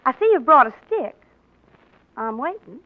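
An actress playing a spoiled, proud young Southern belle in a 1940s radio drama, coquettish and taunting. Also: female voice, high-pitched, sing-song, normal speed. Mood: teasing. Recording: dull 1940s radio broadcast, music behind.

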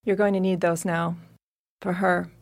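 A woman's voice: lowish voice, level tone, moderate pace, creaky. An actress playing a tough, distrustful middle-aged mother in a post-apocalyptic audio drama, talking in a blunt, wary way. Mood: firm, businesslike. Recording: music under the voice.

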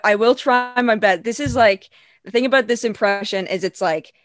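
A woman's voice: mid-range voice, fairly quick, dry recording. A woman in her twenties from England who speaks with a Canadian accent, chatting easily as a podcast guest over a video call and laughing often. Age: 20s. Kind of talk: casual video-call chat.

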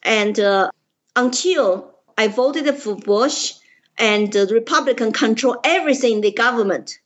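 A woman with a Chinese accent tells her story in a slightly high voice, earnest and forceful in her emphasis. The audio is dull and lo-fi, as if muffled. She speaks in plain, direct sentences. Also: unhurried. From China. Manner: earnest, emphatic, simple grammar. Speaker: political candidate, guest. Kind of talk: interview storytelling.

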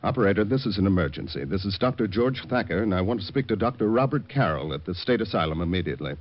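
An actor playing a level-headed, probing doctor with an American accent, businesslike and courteous, in old radio drama style. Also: male voice, lowish voice, lively intonation, fast, lightly acted. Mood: urgent. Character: level-headed, probing male doctor, the detective's steady ally. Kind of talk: 1940s-50s radio drama acting. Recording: dull vintage radio recording.

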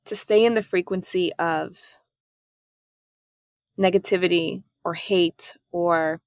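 A woman from Germany hosting her own podcast, talking through her thoughts in a calm, reflective solo monologue. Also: fairly low pitch, slow, no room sound, clean studio sound. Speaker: podcast host.

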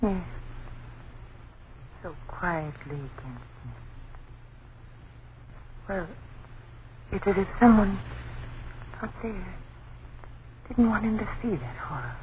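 An actress playing a terrified, protective mother, halting and breathless in a 1930s radio horror drama. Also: mid-range voice, animated. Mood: shaken. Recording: noisy, tinny 1930s radio recording.

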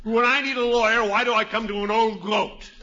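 An American actor playing a blustery, easily flustered middle-aged uncle in a theatrical 1950s radio comedy style, with drawn-out exclamations. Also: male voice, high voice, fairly even intonation, pushed and bright. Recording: dull, noisy 1950s radio recording.

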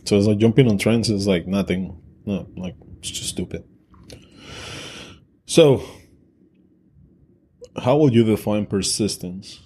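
A man's voice: on the low side, a little slow, long pauses, dark tone, husky. A man co-hosting a podcast, talking bluntly and crudely in casual chat, joking with his co-host. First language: Spanish.

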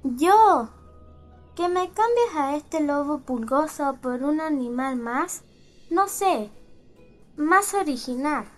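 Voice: high-pitched, lively intonation, slow, soft background music. A performer voicing a cranky, bossy old grandmother in a comic fairy-tale audio drama. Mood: grumbling, demanding.

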